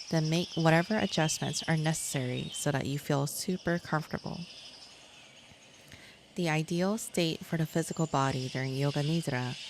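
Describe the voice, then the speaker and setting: A woman guiding a yoga nidra relaxation in a measured, soothing manner, as a scripted meditation. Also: low-pitched. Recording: clear insect and nature noise behind.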